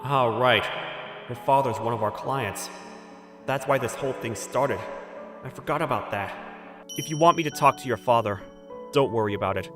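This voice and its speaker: A man's voice: medium pitch, moderate pace, pauses often, fairly bright tone, faint music behind, lightly acted. A man playing an earnest, easily flustered young factory owner in love, in a manga dub. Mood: earnest, nervous. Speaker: voice actor, lead and narrator. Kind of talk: dramatized manga dub with inner monologue.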